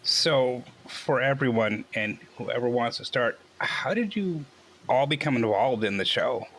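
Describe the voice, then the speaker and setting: A man with a medium-pitched voice casually hosts an interview. There is faint ambient noise behind him. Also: lively intonation, measured pace, fairly bright tone. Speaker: interview host. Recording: noticeable background noise.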